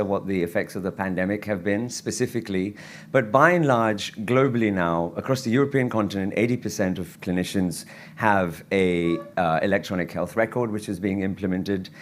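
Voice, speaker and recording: A man speaking as a physician at a conference lecture, his voice croaky from travel, earnest and given to restarts and fillers. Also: on the low side, normal speed, slight background noise. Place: conference hall.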